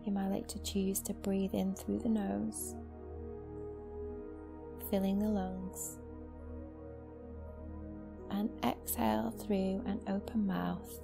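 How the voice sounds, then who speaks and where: Softly creaky, even-toned woman guiding meditation. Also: medium pitch. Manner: slow, soothing, gentle instruction with long pauses. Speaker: yoga nidra teacher. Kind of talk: guided meditation. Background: new-age music.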